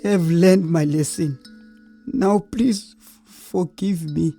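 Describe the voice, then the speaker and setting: A woman's voice: lowish voice, takes it slowly, warm tone, soft background music. A woman with a South African accent playing a lazy, apologetic small male dassie in children's audio theatre, stammering and pleading. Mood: sheepish.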